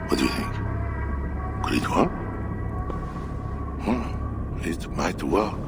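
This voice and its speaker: A scornful, imperious Frankish king for a game drama. The voice is bottomlessly low yet swings widely in pitch with theatrical swells. He takes his words very slowly and with zealous weight. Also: gravelly, full character voice. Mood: scheming. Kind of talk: video game cutscene acting.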